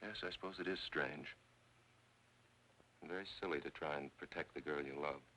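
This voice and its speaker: A blunt, sarcastic skeptic in an old film noir. His voice is deep and hard-edged, on a faintly noisy vintage soundtrack. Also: normal speed, pushed and bright. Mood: wry, scornful. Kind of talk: 1940s film noir acting.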